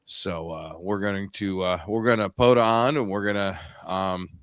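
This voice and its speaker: This is a man with an upstate New York accent talking casually on a ham radio show. His voice sits fairly low, and he rambles along, stopping and restarting often. The sound is dry, with no room around it. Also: moderate pace, a bit bright, smooth, dry recording. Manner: rambling, with many ums and restarts. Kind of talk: casual chat.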